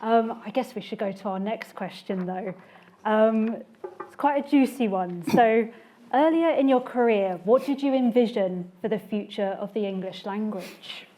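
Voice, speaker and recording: A woman's voice: medium pitch, measured pace, dark tone. A young woman interviewing a guest in an informal, conversational way. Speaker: interviewer, PhD student.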